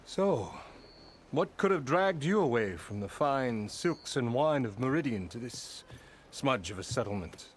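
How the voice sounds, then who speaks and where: An actor playing a pompous, blustering middle-aged labor boss who talks in grand, flattering, evasive turns in a video game. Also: male voice, medium pitch, measured pace, a little hiss or hum, close to an everyday voice.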